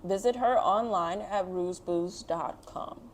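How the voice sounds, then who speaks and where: A woman's voice: lowish voice, unhurried, slight background noise. A woman hosting a children's read-aloud, explaining things simply and encouragingly to young listeners. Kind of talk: picture book read-aloud and talk to children.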